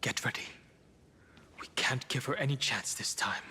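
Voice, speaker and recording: A man voicing a guarded, serious young adventurer in a video game, cautious and hushed. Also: mid-range voice, moderate pace, husky, big-room sound, exaggerated voice. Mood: tense, hushed.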